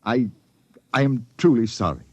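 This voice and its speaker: An actor playing an apologetic older ship's doctor in a 1950s radio drama, husky, hesitant and deferential. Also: male voice, medium pitch, animated, moderate pace, dry recording. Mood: grave, contrite. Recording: dull, dry vintage radio recording.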